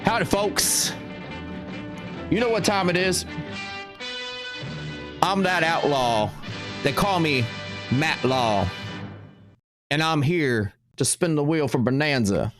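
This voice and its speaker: A man's voice: on the high side, lively intonation, takes it slowly, long pauses, over music. A man hamming up a folksy cowboy outlaw. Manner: drawling western twang, playful. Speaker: live stream host.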